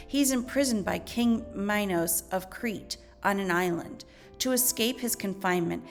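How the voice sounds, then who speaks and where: A woman teacher reading educational material aloud in a measured, instructional way. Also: mid-range voice, creaky. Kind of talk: educational read-aloud narration.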